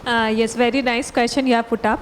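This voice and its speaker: A woman's voice: a little high, flat delivery, brisk, a little hiss or hum. A woman, a principal on a panel, giving students direct, motherly advice. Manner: motherly, direct, addresses students as dear children.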